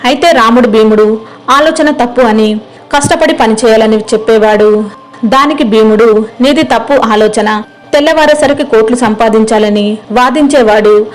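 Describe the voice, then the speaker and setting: A woman's voice: a little high, moderate pace, rough recording. A woman storyteller reading scripted narration for a moral tale.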